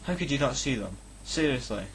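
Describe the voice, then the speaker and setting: A man's voice: mid-range voice, lively intonation, a little fast, slight background noise, low-quality recording. A Scottish man reading a story aloud in a casual, mocking way, breaking off for sweary, exasperated asides. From Scotland. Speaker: reader on a comedy fan fiction reading recording.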